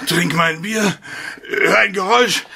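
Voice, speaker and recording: A man's voice: high-pitched, a little slow, creaky, bright, forceful tone, slightly rough, full character voice. An actor playing a shaken, blustering man in a 1970s radio crime play, out of breath and speaking in groaning fragments. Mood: shocked.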